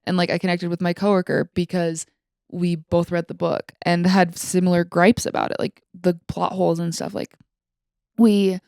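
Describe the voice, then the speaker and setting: A woman's voice: fairly low pitch, little pitch movement, moderate pace, gravelly, darkish tone, no room sound, studio quality. A young woman co-hosting a podcast, chatting casually and giving opinions in very informal speech sprinkled with "like" and mild swearing. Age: young adult. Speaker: podcast co-host.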